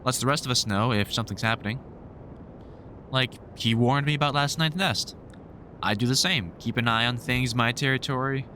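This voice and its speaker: A man playing a weary, kindly vampire hunter in an audio roleplay, talking to the listener in a halting, informal way with dry humour. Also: mid-range voice, bright, forceful tone, a little hiss or hum, lightly acted. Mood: explaining, casual.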